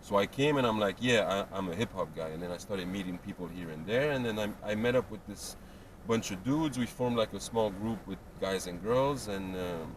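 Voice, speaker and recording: A young man from Montreal chatting as a guest rapper in a casual interview, talking in a relaxed, rambling way with lots of fillers. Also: fairly low pitch, normal speed, a crowd in the background. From Montreal, Canada. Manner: laid-back, rambling, many fillers and restarts. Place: outdoors on a city street. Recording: distant mic, loud street noise.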